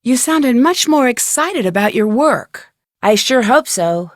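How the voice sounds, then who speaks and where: A woman's voice: on the high side, animated, a little slow, no echo, clean studio sound. A woman playing an inquisitive, polite young female amateur detective in a video game, asking questions in a curious way. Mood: polite, earnest. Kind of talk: video game dialogue acting.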